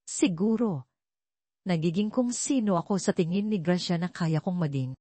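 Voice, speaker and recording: A gentle millionaire father, read by a woman in an audio drama. He is protective and earnest, with measured speech in a mellow tone and a dry, close sound. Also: lowish voice, no room sound, close to an everyday voice. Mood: resolute. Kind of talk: audio drama storybook reading.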